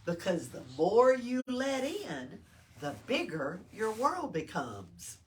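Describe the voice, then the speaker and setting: Older woman, very lively intonation, children's read-aloud, simple explanations with prompting questions, recorded far from the mic. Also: medium pitch, slow. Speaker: library storytime reader. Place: small-town library. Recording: distant mic with background noise.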